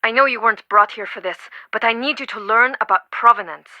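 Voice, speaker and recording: A woman's voice: fairly high pitch, a little fast, bright, forceful tone, no echo. An actress playing an earnest, devoted and easily affronted woman museum curator in a video game, with dramatic, emphatic delivery. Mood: grave, urgent.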